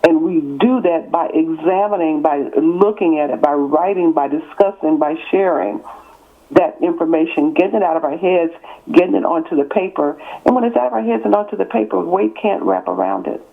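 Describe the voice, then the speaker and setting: A woman's voice: lowish voice, normal speed, creaky. An author in her sixties, raised in East Texas, answers interview questions over the phone. She talks emphatically and stacks her points in repeated, parallel phrases. The line sounds thin and phone-like. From born in California, raised in East Texas. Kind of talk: interview on a call.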